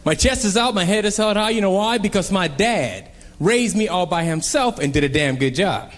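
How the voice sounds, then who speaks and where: A young man performing a stand-up comedy set on a club stage, telling animated stories and acting out characters. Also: sits high, normal speed. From Columbus, Ohio. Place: comedy club stage before a laughing crowd. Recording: live club recording with crowd laughter and noise.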